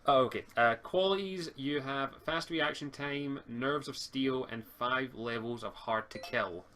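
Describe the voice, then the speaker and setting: A man's voice: medium pitch, a little slow, bright, forceful tone, slight background noise. A man acting as game master, explaining tabletop game rules over an online call, often stammering and restarting his words.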